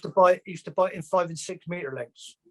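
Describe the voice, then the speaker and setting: A man's voice: high voice, brisk. A man asking casual questions in a direct way, often repeating his words.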